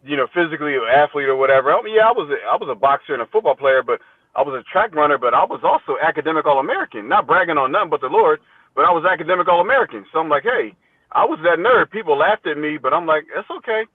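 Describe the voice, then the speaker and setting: A man's voice: on the high side, lively intonation, racing, dry recording. A man in his fifties, a teacher appearing as a podcast guest, talking conversationally and with good humor, often repeating his phrases. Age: 50s. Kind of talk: casual interview chat. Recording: thin phone-like connection.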